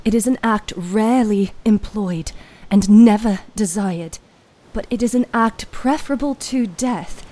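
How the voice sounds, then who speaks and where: A woman voicing a solemn female mystic in a fantasy story, with a soft-spoken, measured manner. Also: mid-range voice, natural voice.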